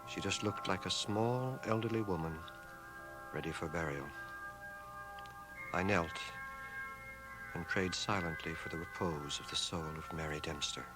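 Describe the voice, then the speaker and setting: An actor playing a dry, erudite older scholar in a radio drama, measured, precise and stoical. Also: male voice, sits low, over loud music, low-quality recording. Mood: grave, reflective. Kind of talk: radio drama acting and first-person narration. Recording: 1980 radio drama, home cassette transfer.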